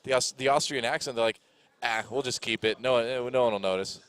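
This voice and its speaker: A man working as an esports commentator, riffing in casual, animated, joking banter with a co-host. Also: medium pitch, brisk, clean tone.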